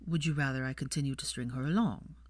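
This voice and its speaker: Woman voicing a low, restrained young aristocratic man. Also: quick pace, dry recording, clean studio sound. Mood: earnest. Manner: quiet, matter-of-fact, restrained. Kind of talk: audiobook character voice.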